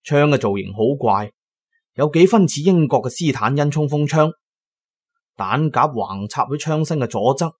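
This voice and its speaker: Male storyteller, mid-pitched and expressive. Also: moderate pace, husky. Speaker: storyteller, narrator. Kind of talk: dramatic first-person audio drama narration.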